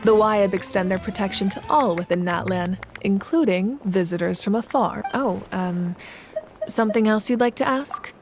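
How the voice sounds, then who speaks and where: An actress voicing a composed, earnest female leader in a fantasy game, speaking in a measured, formal, explanatory way. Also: mid-range voice, over music, close to an everyday voice. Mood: polite, inquiring. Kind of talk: scripted game dialogue.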